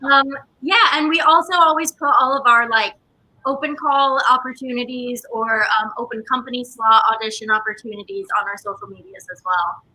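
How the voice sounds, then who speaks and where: Female interview guest, very high and intensely bright voice, casual chat about company work. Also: normal speed, rough recording.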